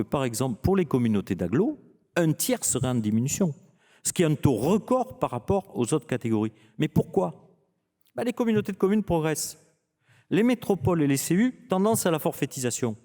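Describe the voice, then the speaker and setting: Male seminar speaker, expert and didactic, animated intonation, mellow tone, recorded in a reverberant hall. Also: medium pitch. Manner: didactic, rhetorical questions answered himself. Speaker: finance consultant presenting at a seminar. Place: large indoor conference venue.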